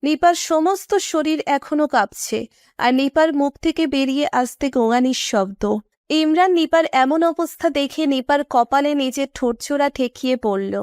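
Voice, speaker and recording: A woman's voice: sits high, normal speed, darkish tone, no echo, studio quality. A woman narrator reading a scripted romantic story.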